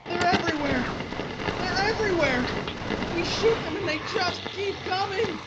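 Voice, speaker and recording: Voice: very high-pitched, normal speed. An actor playing a panicked soldier reporting over field radio in a war horror audio drama, frantic and alarmed. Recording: very noisy crackling radio transmission.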